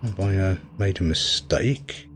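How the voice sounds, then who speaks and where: A man's voice: deep voice, a little slow, faint music behind. A man giving casual gameplay commentary, rambling wryly as he thinks aloud while playing. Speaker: game reviewer and player.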